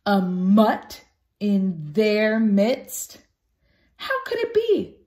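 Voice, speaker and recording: A woman reading a children's picture book aloud expressively and deliberately, as a storytime teacher. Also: mid-range voice, wildly swooping pitch, darkish tone. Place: small room at home, indoors. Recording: roomy home recording.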